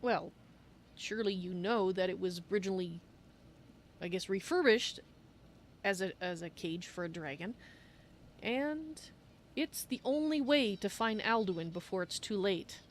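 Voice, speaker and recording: A woman's voice: medium pitch, animated, measured pace, pauses often, lightly acted. A woman roleplaying a courteous female cat-folk archmage in a fantasy game, speaking of herself in the third person. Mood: earnest. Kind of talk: in-character game roleplay on a livestream. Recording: faint noise and game ambience.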